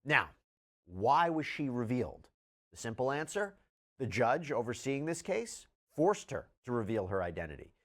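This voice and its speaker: A man explains case updates in the style of a news commentator. His voice is medium in pitch and moves up and down a great deal. He puts deliberate stress on key words and sets up points with rhetorical questions. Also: big pitch swings, fairly bright tone.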